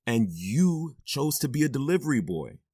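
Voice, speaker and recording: A man's voice: medium pitch, very animated, normal speed, mellow, breathy edge, dry recording, clean studio sound. An actor playing a firm but loving middle-aged stepfather who sighs often and talks wearily. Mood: stern. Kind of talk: audio drama acting.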